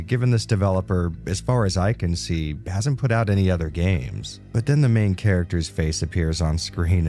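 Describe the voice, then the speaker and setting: Game reviewer, man, very low mellow voice, stuffy nose from a cold, wry scripted voiceover. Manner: casual, wry and joking. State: nasal from a cold.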